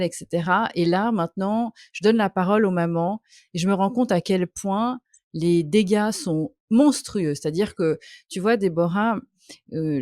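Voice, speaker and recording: A woman's voice: on the low side, darkish tone, no echo, studio quality. A woman hosting an interview, speaking with many hesitant fillers and an empathetic tone. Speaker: podcast host. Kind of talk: interview via video call. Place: hot home office.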